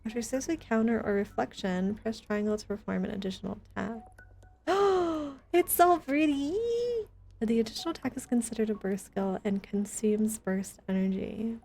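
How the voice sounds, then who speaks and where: A woman with a soft, mellow voice talks casually on a game livestream. Her manner is playful and teasing, and music plays faintly behind her. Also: on the high side, normal speed, creaky. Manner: playful teasing banter, gasps at discoveries. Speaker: game streamer. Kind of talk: casual livestream gaming chat.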